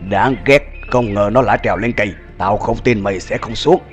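A man voicing gruff, excitable hunters and villagers in a dubbed animated film. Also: lowish voice, brisk, close to an everyday voice. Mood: angry. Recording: muffled audio under music.

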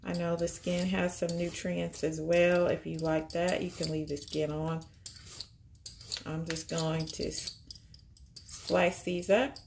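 A woman's voice: on the low side, little pitch movement, slow, gravelly, darkish tone, slight background noise. A woman, chatting casually and upbeat as she walks through a cooking prep at home, thinking aloud as she goes. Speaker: vegan cooking vlogger. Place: home kitchen.

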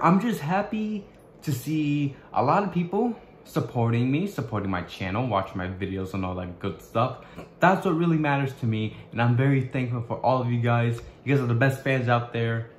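Young man, casual vlog, halting restarts, webcam in small room, echoey, faint background hum. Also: medium pitch, expressive. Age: young adult. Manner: halting, searching for words, many restarts. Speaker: YouTuber. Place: small bedroom indoors.

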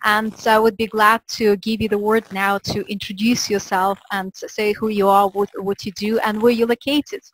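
Woman host, polite and hesitant, average pitch, video call audio. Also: flat delivery, moderate pace, hardly any pauses, slight background noise, poor audio. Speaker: interview host. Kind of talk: podcast interview questions. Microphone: video call webcam.

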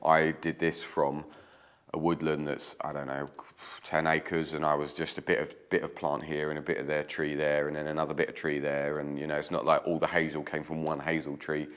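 Deep-voiced man, croaky from a cold. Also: monotone, a little fast, fairly bright tone. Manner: chatty, hands busy weaving. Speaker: craft tutorial host. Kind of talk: casual craft tutorial. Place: old stone-walled room indoors.

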